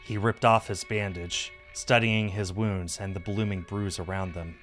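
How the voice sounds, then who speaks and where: A man's voice: low-pitched, steady tone, unhurried, a bit bright, soft background music. A man reading a visual novel aloud on a stream as scripted story narration.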